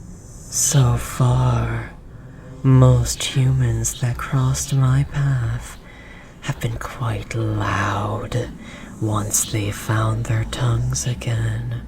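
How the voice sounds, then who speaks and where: Casting: ominous forest deity played by an actor for audio roleplay, extremely slow, breathy whispering, gently menacing and possessive, over noisy ambience. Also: medium pitch, level tone. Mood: intrigued, gentle. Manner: slow, solemn, archaic formal phrasing. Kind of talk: scripted roleplay audio drama monologue.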